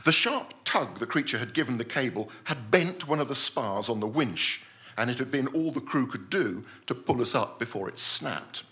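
Man acting pompous gentleman explorer-scientist, self-assured, precise, theatrical storytelling, very lively pitch, forceful bright voice. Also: normal speed. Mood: self-assured, enthusiastic. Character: pompous, obsessive Victorian-style gentleman scientist recounting his deep-sea adventure. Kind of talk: theatrical first-person story reading.

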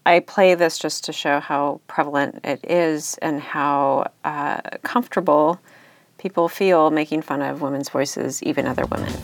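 Low-voiced woman hosting a podcast. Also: fairly even intonation. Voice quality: occasional vocal fry and uptalk. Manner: reflective, measured, candid. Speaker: podcast host, lawyer. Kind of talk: solo podcast monologue.

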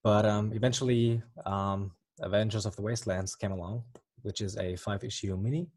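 A man's voice: lowish voice, steady tone, moderate pace, darkish tone. A young man from Germany with a German accent, a comic artist answering interview questions in a hesitant way, with many ums and restarts.

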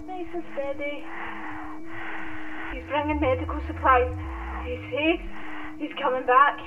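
A woman's voice: high-pitched, steady tone, measured pace. An actress playing a wounded, betrayed woman in a radio horror play, in pain and fighting panic, on a thin, noisy recording. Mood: pained, self-calming. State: in pain, breathing hard. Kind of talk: radio horror drama acting. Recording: muffled phone-like sound, clear noise, ambience.

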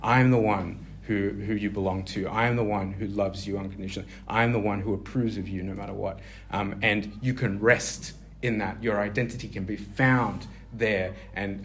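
A man's voice: on the low side, a little hiss or hum. A man preaching an informal sermon, talking conversationally with many fillers and restarts and asking his listeners questions.

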